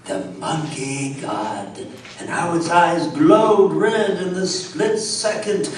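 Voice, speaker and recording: A man's voice: a little high, slow pace, slight background noise. A man playing a haunted colonial Englishman who narrates a horror tale in a theatrical, suspenseful on live radio theatre. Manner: theatrical, suspenseful, dwells on words. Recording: echoey hall, distant mics, live audience.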